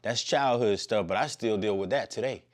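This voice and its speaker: Guest, man, 50s, very low voice, relaxed slangy rambler. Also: normal speed. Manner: laid-back, slangy, long-winded. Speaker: podcast guest. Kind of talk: casual conversation.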